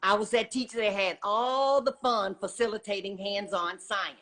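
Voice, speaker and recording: A woman's voice: medium pitch, fairly even intonation, moderate pace, fairly bright tone. A woman in her sixties from Texas, speaking as a guest author telling her own story conversationally. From Texas, United States. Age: 60s. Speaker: guest author, retired science teacher.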